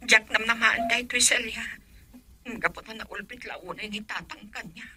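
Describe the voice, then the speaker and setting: Actress as a sharp, husky Ilocano woman. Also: fairly high pitch, moderate pace, full character voice. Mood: troubled. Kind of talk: radio drama dialogue.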